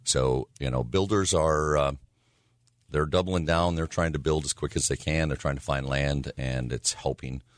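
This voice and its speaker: Very deep raspy man. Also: steady tone, moderate pace, dry recording, clean studio sound. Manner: rambling, with many fillers. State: hoarse from yelling. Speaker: real estate agent. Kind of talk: casual radio talk.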